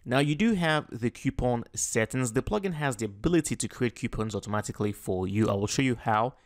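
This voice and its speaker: A man talking through a software tutorial in a casual, explanatory way, with fillers and restarts as he clicks through settings. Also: mid-range voice, animated, a little fast. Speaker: tutorial host. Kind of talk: screen-recorded software tutorial. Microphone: voice-over on a screen recording.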